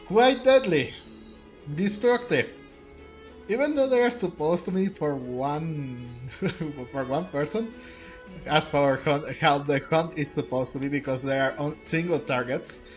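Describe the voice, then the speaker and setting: A man giving casual gameplay commentary, thinking aloud and rambling with many restarts. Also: fairly high pitch. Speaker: gamer.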